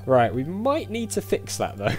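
A man's voice: fairly high pitch, wildly swooping pitch, measured pace, faint music behind. A man giving casual let's-play commentary, thinking aloud in a chatty way as he plays. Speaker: gaming video host.

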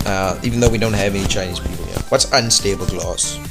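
A man's voice: sits low, fast talker, gravelly, music in the background. A young man casually commentating a mobile game, rambling and joking in slangy chat. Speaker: gaming YouTuber.

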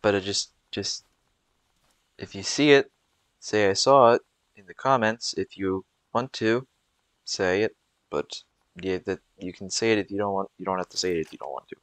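A man's voice: on the low side, normal speed, fairly bright tone, dry recording. A young man giving rambling, casual commentary over gameplay, with frequent fillers and restarts. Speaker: gameplay video creator.

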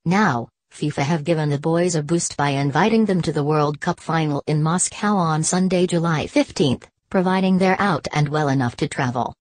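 A woman narrating a scripted news report in an even, measured read. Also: deep voice, no echo.

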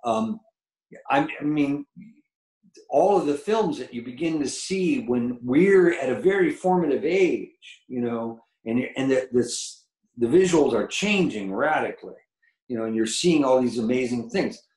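An older man with a low baritone voice, chatting as a guest musician over a video call, rambling and enthusiastic with many fillers. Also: expressive, unhurried, darkish tone, roomy sound, rough recording. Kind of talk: casual interview chat. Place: home room with painted mural, video call. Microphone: video call webcam.

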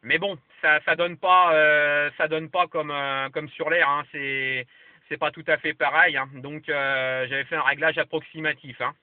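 A man's voice: a little high, little pitch movement, a little slow, no echo. A man chatting casually as a radio operator and giving signal reports. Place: parked vehicle. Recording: lo-fi camera audio, muffled, dry.

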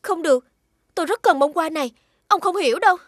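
A woman voicing a protective elder-sister fairy in an animated fairy tale, earnest and urgent. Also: extremely high pitch, fast talker, no echo, studio quality. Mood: pleading.

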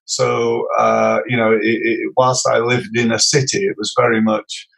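A man's voice: mid-range voice, flat delivery, a little slow, creaky, a bit bright, low-quality recording. A middle-aged man with a Yorkshire accent, telling his life story as a podcast guest, hesitant with many fillers and restarts. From Sheffield, England. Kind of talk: casual interview storytelling.

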